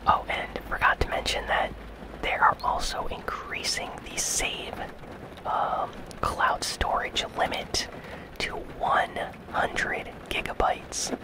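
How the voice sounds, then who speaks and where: Soft breathy whisper, ASMR news host, casual, unhurried delivery, almost no voiced sound. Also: poor audio.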